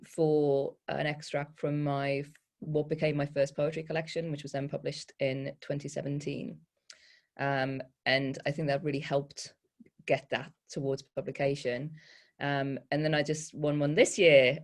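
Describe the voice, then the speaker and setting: Low-pitched woman poet. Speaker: poet on a panel. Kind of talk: introducing herself at an online event.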